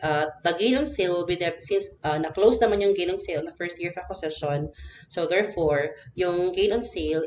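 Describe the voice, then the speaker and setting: A woman with a Filipino accent and a low voice teaches like an instructor walking through a worked example. She speaks hesitantly, often adding little check-in tags. The recording is dull and lo-fi with faint background noise. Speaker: accounting instructor. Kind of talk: informal recorded lecture explaining worked examples.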